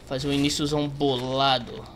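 A man's voice: medium pitch, flat delivery, a little fast, fairly bright tone, slight background noise. A young man with a Brazilian accent rambles casually through gameplay commentary. He is slangy and joking, close on the mic, with faint game ambience and typing behind him. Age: young adult. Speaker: gaming streamer. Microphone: close desk microphone. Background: game ambience, keyboard typing.

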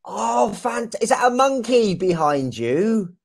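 A young man from England, teaching a young child over a video call in slow, clear, encouraging speech full of exclamations. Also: sits high. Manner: slow, clear and lively, often exclaims and laughs. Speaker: online English teacher. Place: small room indoors. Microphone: video call with wired earphone mic.

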